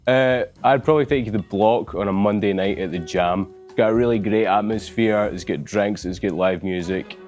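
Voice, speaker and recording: A man's voice: on the low side, moderate pace, hardly any pauses, a little mellow, faint music behind. A Glaswegian man in his twenties, an easygoing dating show contestant giving plain answers. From Scotland. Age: 20s.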